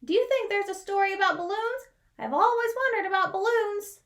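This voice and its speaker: A woman's voice: very high voice, brisk, a bit bright, pitched above the performer's own voice. A woman voicing a timid, curious little porcupine in a children's storybook, exclaiming and questioning. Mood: amazed.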